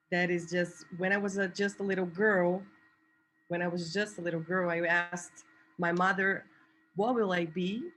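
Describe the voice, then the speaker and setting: Woman, English, young adult, slow and deliberate storyteller, slightly low voice, faint hiss behind, video call. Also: fairly bright tone, rough recording. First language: Portuguese. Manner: slow, deliberate, poetic delivery. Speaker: activist and artist, presenter. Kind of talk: spoken-word storytelling in an online talk.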